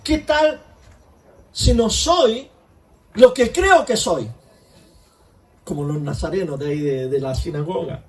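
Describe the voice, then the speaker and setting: A man's voice: high-pitched, expressive, takes it slowly, pauses often, far from the mic. A man preaching a conversational sermon in church, full of rhetorical questions. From Chile. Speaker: pastor.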